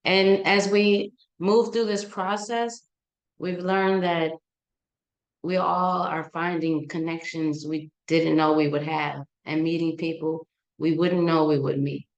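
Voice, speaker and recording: A woman moderates an artist panel at an unhurried pace, with a somewhat low voice. She is relaxed and laughs easily between speakers. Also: roomy sound, low-quality recording.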